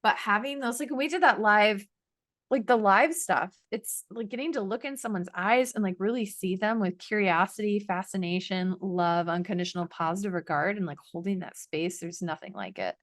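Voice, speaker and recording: A woman's voice: medium pitch, moderate pace, no echo. Earnest affirming woman, dry close sound. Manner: earnest, affirming, coaching tone with many "like"s. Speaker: podcast co-host. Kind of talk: casual podcast chat.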